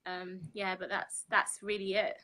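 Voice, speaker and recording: A woman, answering interview questions over a video call in a measured way, with frequent ums. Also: mid-range voice, level tone, sharp tone. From London, UK. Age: 20s. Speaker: interview guest. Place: indoor room.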